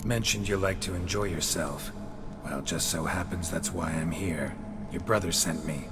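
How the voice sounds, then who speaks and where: An actor playing a gruff, terse mercenary with a dry, laconic manner in a video game. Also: male voice, deep voice, normal speed. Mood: dry, persuasive. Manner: laconic, deadpan, clipped sentences. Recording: loud music behind.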